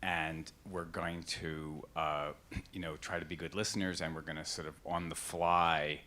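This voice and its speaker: A man moderating a panel discussion, thinking aloud in a halting way as he poses a question. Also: rumbling low, level tone, bright, forceful tone, slight background noise. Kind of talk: panel discussion at grand rounds.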